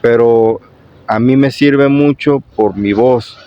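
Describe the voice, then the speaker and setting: A man with a husky voice hosting an informal guitar tutorial vlog, explaining things repetitively and often checking in with his viewers. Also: medium pitch, takes it slowly, dry recording. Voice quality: hoarse, husky. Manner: repetitive, with frequent check-in questions. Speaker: YouTube guitar tutorial host. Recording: dull lo-fi sound.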